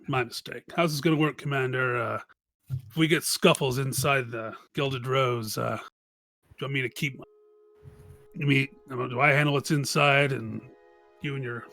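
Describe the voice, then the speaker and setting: A man acts out an easygoing tavern bouncer in a tabletop fantasy game. He is cocky, friendly and wry, with a casual middle-pitched voice. Music sits behind the talk. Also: steady tone, normal speed, vocal fry, bright, forceful tone.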